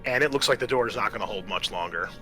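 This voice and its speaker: A man improvising narration as game master of a tabletop roleplaying game, describing scenes in a conversational, halting way. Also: fairly low pitch, music in the background.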